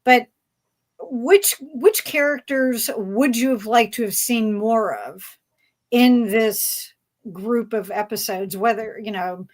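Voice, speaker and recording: A woman moderating a casual fan panel discussion, speaking haltingly as she searches for words. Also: a little high.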